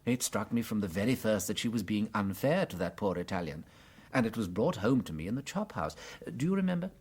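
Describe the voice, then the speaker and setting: An English actor playing a gentle, unworldly but shrewd priest detective in a radio drama, hesitant and politely musing. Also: male voice, fairly low pitch, big pitch swings, fairly quick, husky. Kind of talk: full-cast radio drama acting.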